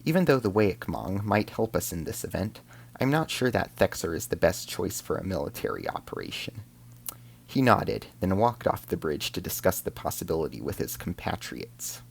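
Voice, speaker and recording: A man's voice: low voice, big pitch swings, moderate pace, creaky, slightly rough. A man narrating a scripted science fiction drama in the first person as an alien soldier, in a solemn, formal storytelling manner.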